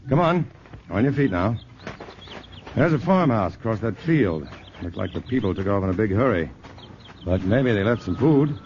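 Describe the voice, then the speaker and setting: Man acting as a steady protective scientist, very low voice, lively intonation, muffled old broadcast, faint hiss. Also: moderate pace, pauses often, natural voice. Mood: urging. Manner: steady, reassuring. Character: level-headed male scientist, adult, calm and protective. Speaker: scientist hero in a radio play. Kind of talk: 1950s radio drama acting.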